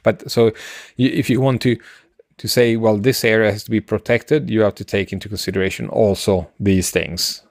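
A middle-aged man co-hosting a science podcast, explaining casually and haltingly, often circling back to rephrase. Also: fairly low pitch, fairly even intonation, gravelly, no room sound, studio quality. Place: indoor room on a video call. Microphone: close desk microphone, headset.

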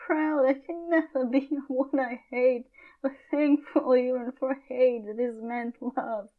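A young daughter in a classical tragedy, acted by a woman, speaks high and pleading in archaic stage language. She sounds distressed and soft, on a slightly dull home recording. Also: steady tone, measured pace. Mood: defiant, hurt.

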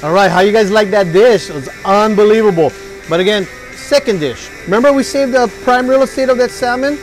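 A chef in his forties talks viewers through his recipes in a restaurant kitchen. His voice sits high, and he keeps an upbeat, hyped-up tone. Faint music plays underneath. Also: fairly quick, low-quality recording. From Hawaii. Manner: upbeat, hypes the food to viewers. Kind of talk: cooking demonstration.

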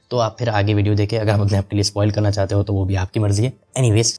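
A man's voice: lowish voice, darkish tone. A young man casually reviewing anime in a voice-over, chatty and full of fillers, switching into English now and then. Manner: chatty, mixes English into Hindi, frequent fillers. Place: voice-over over gameplay footage.

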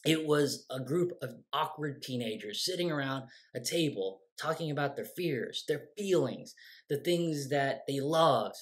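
A playwright in his forties telling a story casually to camera from a room at home, animated and quick to act out his younger self. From United States. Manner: animated, gestures a lot, quotes his younger self with lively shifts in pitch. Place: home room with bookshelves and windows. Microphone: close webcam framing.

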